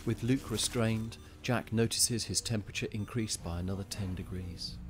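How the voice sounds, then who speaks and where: Grave horror-drama narrator, played by a man, low-pitched. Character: grave male narrator of a bleak horror tale. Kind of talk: scripted audio drama narration.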